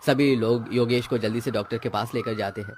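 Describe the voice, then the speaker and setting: A man's voice: mid-range voice, steady tone, fairly quick, darkish tone, a little hiss or hum. A man narrating a scripted story as the storyteller.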